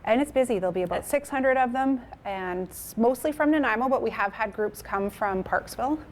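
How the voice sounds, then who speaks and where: A woman's voice: fairly high pitch, brisk, slight background noise. A woman, speaking as a museum curator explaining in an interview. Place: indoors at a museum.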